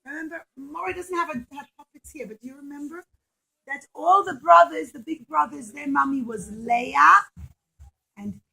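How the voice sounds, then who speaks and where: A woman teacher telling a story to young children in an animated way, with simple, repetitive, emphatic phrasing. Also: sits high, normal speed, vocal fry, low-quality recording.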